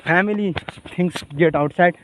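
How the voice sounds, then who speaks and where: High-voiced Nepali man, halting and rambling. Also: slight background noise, rough recording. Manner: halting, rambling, repeats phrases. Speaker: vlogger. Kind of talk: unscripted personal monologue.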